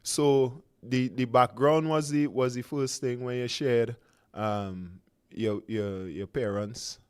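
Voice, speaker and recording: A man with a Trinidadian accent hosting a relaxed radio interview, chatty and loose, often laughing and searching for words with fillers. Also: medium pitch, takes it slowly, clear, resonant tone. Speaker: radio show host.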